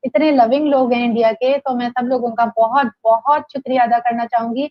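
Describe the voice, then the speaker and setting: A woman's voice: fairly high pitch, steady tone, brisk, no echo, highs cut off. A Pakistani woman speaking as an interview guest in an enthusiastic, motivational testimonial style, emphatic and repeating phrases for effect. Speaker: interview guest, direct-sales leader.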